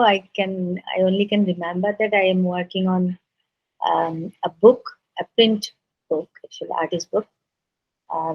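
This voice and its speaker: A woman with a Bangladeshi accent answering interview questions as an artist, speaking slowly and correcting herself. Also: fairly low pitch, husky. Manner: slow, thoughtful, self-correcting. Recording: dull lo-fi recording.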